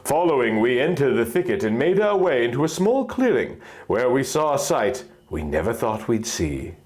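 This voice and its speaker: A man reading scripted fantasy fiction as an expressive story narrator. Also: medium pitch, normal speed.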